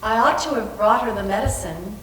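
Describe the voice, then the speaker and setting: A woman's voice: mid-range voice, fairly quick, a bit bright, natural voice. A woman playing an anxious, haunted, guilt-ridden woman in a dramatic readers theater reading of a ghost story. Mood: guilty, haunted. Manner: dramatic, reads own dialogue tags. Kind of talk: readers theater narration and dialogue. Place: library room, live staged reading. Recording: echoey room, far from mic, faint noise.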